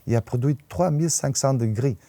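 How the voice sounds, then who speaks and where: A man's voice: mid-range voice, a little fast, mellow, no echo. A man in his sixties with a Portuguese accent, a native Portuguese speaker, speaking conversationally as an interviewed writer and often repeating words as he searches for a phrase. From northern Portugal. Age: 60s. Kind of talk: conversational interview, personal anecdotes.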